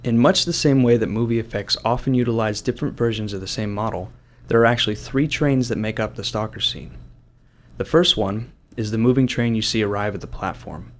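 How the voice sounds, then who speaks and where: A man's voice: on the low side, brisk. A man giving scripted commentary as a game developer.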